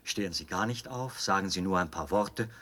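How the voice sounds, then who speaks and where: An actor playing a zealous, obsessive colonial officer in a German radio play, formal and insistently persuasive, with a husky voice. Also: male voice, low voice, fairly quick, pushed and bright. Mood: coaxing, insistent.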